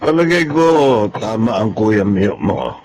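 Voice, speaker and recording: Gravelly old Filipino father. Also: lowish voice, big pitch swings, a little slow, noisy background. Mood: discouraging, insistent. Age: older. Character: ailing, nagging old pensioner father, money-minded. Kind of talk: Filipino radio drama acting.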